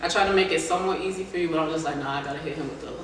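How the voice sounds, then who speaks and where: A man's voice: a little high, racing. Guy doing a chill interview, teasing a bit, far from the mic, noisy echoey recording. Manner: playful teasing, informal slang. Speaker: interviewer, host. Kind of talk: casual chat, quiz-style interview. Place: reverberant indoor room. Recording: echoey room, distant mic, clearly noisy.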